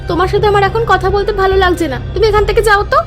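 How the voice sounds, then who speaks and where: Cartoon role: woman voicing a stubborn greedy young wife, piercing high voice, faint music. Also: fairly even intonation, rapid-fire. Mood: scornful. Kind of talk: cartoon voice acting.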